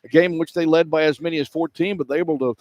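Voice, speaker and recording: A man hosts a radio sports broadcast, recapping a game in commentary style. His voice sits a little high for a man, and the sound is dry with no room echo. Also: fairly even intonation, very fast, lo-fi sound. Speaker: play-by-play radio host.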